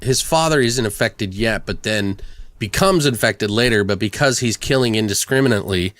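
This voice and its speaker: A man casually pitching horror story ideas in an informal, halting, sweary manner. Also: fairly bright tone, no room sound. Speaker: podcast guest.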